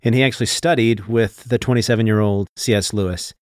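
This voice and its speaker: A man with a Texan accent chats as a co-host on a podcast, going off on rambling tangents and laughing easily. His voice sits on the low side. It is recorded dry and close, with no room sound. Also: fairly even intonation, quick pace, no room sound. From Texas, USA. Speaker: podcast co-host giving background context. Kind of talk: casual chat.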